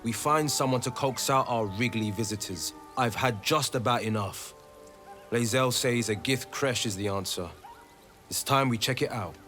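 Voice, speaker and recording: An actor playing a swashbuckling, boastful young monster hunter in a fantasy video game, with charming, theatrical flourishes. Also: male voice, mid-range voice, moderate pace, a bit bright, faint music behind, close to an everyday voice. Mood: jaunty.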